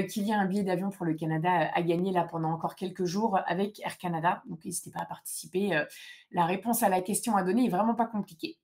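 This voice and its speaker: A woman's voice: medium pitch, a little mellow. Female live-session host, casual delivery, direct and emphatic adviser, mid-range voice. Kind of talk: casual informal live Q&A, explaining procedures.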